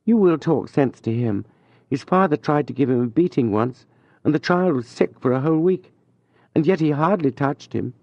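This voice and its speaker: A man voicing a gentle, anxious young noblewoman who speaks softly and pleadingly. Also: a little high, expressive, normal speed, no echo, highs cut off, pitched above the performer's own voice. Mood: reassured. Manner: soft, pleading.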